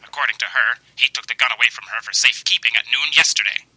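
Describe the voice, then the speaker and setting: Man as raspy, hard-edged police detective. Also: mid-range voice, fast, bright, forceful tone, no room sound, rough recording. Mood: matter-of-fact. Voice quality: husky, raspy. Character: blunt, businesslike male police detective reporting facts. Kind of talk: old time radio mystery acting.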